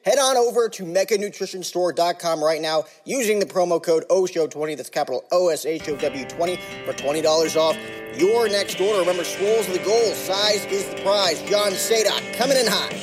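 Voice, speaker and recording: A man hosting a sports podcast, talking about baseball in an energetic, hyped way with casual slang. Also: sits high, a little fast, faint music behind. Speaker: podcast host. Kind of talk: casual podcast intro and interview.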